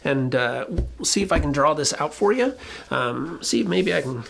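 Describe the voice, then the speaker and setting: Gravelly, husky explainer voice. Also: medium pitch, very animated, normal speed, a bit bright. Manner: colloquial, emphatic, occasionally swearing. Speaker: presenter explaining relationship conflict. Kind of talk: informal explainer talk to camera with whiteboard.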